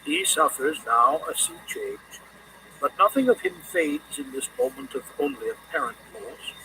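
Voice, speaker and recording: A man narrating a scripted literary audiobook in a measured, deliberate reading manner. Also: mid-range voice, lively intonation, distant mic, noisy background.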